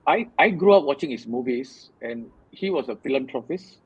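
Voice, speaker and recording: A man in his fifties from Singapore, a guest in an interview, telling his story in an earnest, measured way with many fillers. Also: mid-range voice, animated, husky, dry recording, narrow, tinny audio. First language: Tamil. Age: 50s. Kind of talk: reflective conversational interview.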